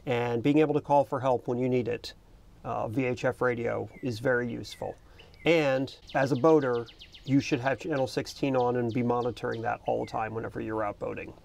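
Man, middle-aged, gravelly fry, mid pitch, casual explainer, conversational, unscripted. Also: fairly even intonation, brisk, darkish tone. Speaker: boating video host. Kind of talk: casual explanatory talk to camera. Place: outdoors in the cockpit of a small parked boat.

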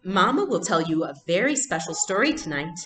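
Woman reading as a brightly reassuring storybook mother. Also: fairly low pitch, big pitch swings, brisk. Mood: brisk, coaxing. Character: cheerful, brisk mother hiding her worry from children.

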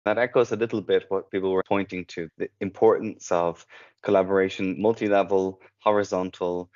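Male podcast host, measured, fairly low voice, muffled sound. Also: fairly even intonation, darkish tone. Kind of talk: interview questions.

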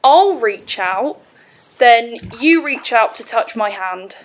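Slow, high-voiced coaxing mermaid. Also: female voice, expressive. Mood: friendly, pleased. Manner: slow, coaxing, slipping into eerie whispers. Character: lonely, coaxing female mermaid, wry and teasing. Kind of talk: audio play acting.

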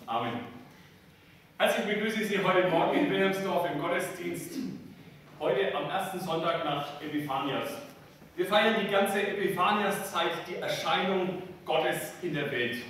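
A man, a pastor in his thirties, addresses his congregation deliberately as he opens a church service. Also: high voice, a bit bright. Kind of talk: church service greeting and liturgy. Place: inside a small church. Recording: very echoey church, far mic, faint noise.

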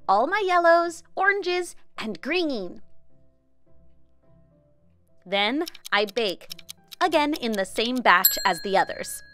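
A woman in her thirties hosting a children's craft show, narrating an experiment in an expressive, child-friendly way. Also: high-pitched, no room sound. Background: background music.

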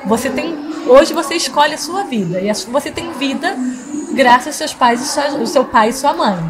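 A woman in her forties hosting a podcast interview in a small studio, talking warmly and emotionally with frequent laughs. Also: high-pitched, lively intonation, normal speed, background noise. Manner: warm, emotional, laughs often. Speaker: podcast host. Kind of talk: casual interview chat. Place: small studio in front of a logo wall. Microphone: studio mic on boom arm.

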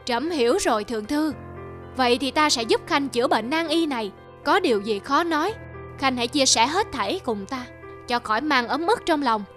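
Woman doing old cartoon emperor, super high voice, formal n caring, bit of music under it. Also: animated, normal speed, a bit bright. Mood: reassuring, authoritative. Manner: formal, stately. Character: aged emperor, concerned and authoritative. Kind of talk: cartoon folktale dub.

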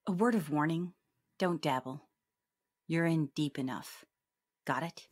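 A woman's voice: deep voice, a little slow, slightly rough. An actress playing a tough, guarded female police detective with a terse, wry manner in a radio drama. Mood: stern. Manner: terse, hard-boiled, wry.

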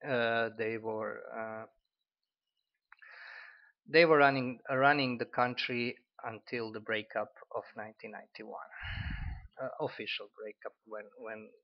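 A man in his forties from Croatia with a Croatian accent, critiquing an article in halting speech with many fillers and restarts. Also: medium pitch, fairly even intonation. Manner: hesitant, frequent fillers and restarts. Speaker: commentator. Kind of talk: unscripted spoken critique. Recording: dull lo-fi audio.

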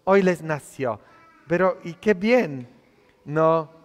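A man's voice: a little high, lively intonation, slow pace. A priest in his thirties, not a native Spanish speaker, preaching a conversational homily full of tag questions from the altar of a church. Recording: long church reverb.

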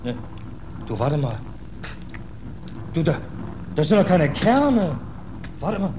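An actor playing a world-weary, blunt American sailor without a country, in clipped colloquial German radio drama style of the 1940s. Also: male voice, on the high side, sing-song, slow pace. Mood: secretive, eager. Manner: colloquial, clipped working-class speech. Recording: noisy 1940s radio recording.

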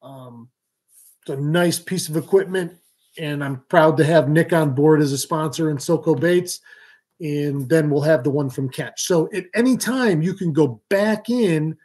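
A man's voice: fairly high pitch, a little slow, darkish tone, breathy edge. A man, hosting a live fishing stream from a small room at home, explaining things casually with lots of ums and restarts. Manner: talks with his hands, many ums and restarts. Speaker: fishing show host. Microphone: webcam or laptop mic, video call framing.

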